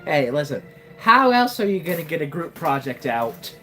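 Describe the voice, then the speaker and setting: A woman's voice: sits low, sing-song, normal speed, sharp tone, faint music behind. A woman in her twenties casually commenting on her gameplay during a live stream, wry and joking, often exclaiming to herself. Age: 20s.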